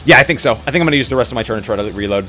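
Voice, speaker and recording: A man's voice: medium pitch, lively intonation, racing, sharp tone, soft background music. A man chatting casually and thinking aloud as a player in a tabletop roleplay.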